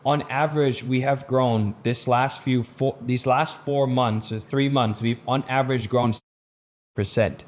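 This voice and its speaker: A man's voice: mid-range voice, a little mellow. A young man from the Netherlands, a business founder, speaking earnestly and motivationally to camera and then training his team, with frequent restarts and fillers. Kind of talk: casual vlog intro, then presentation-style training.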